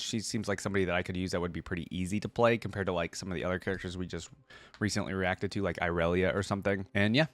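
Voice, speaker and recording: Low-pitched man, casual reaction host. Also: no room sound. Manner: informal, slangy, enthusiastic. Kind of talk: casual, unscripted reaction commentary.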